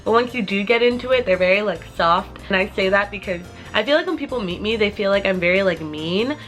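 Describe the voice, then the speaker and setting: Woman, 20s, vlogger. Casual rambling Q&A to camera, heavy on fillers, mid pitch, light music bed. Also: fast, fairly bright tone. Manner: chatty, rambling with many "like" fillers.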